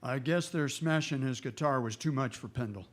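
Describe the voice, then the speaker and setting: An actor playing a steady, plain-spoken frontier marshal, laconic and matter-of-fact, in a Western radio drama. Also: male voice, mid-range voice, moderate pace, natural voice. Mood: businesslike.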